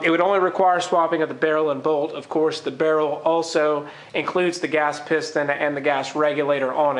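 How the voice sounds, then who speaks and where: The recording is noticeably roomy and echoey. A man with a fairly high voice, congested from a cold, talks through an informal video review. He explains things in technical detail. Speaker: gun reviewer. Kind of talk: informal product review to camera.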